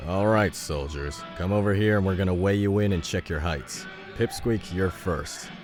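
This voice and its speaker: A man playing a big, gruff but supportive ex-military trainer in an audio drama. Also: deep voice, normal speed, music in the background, natural voice. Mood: gruff, commanding. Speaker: military veteran and coach. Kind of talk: audio fiction dialogue.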